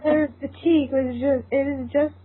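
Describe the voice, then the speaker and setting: A woman's voice: sits high, fairly even intonation, normal speed. A woman narrating a story aloud as part of an informal group reading. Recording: tinny narrow phone-like line, hissy noise.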